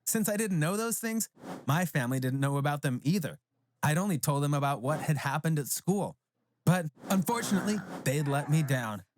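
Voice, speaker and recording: A man narrating a scripted animated story as a proud, resentful teenage boy. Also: a little high, normal speed, a little hiss or hum, close to an everyday voice. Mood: proud, earnest.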